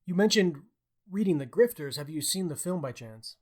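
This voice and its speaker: A man's voice: fairly high pitch, lively intonation, normal speed, darkish tone. A man co-hosting a podcast, talking conversationally about books. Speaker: podcast co-host. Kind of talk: conversational book discussion.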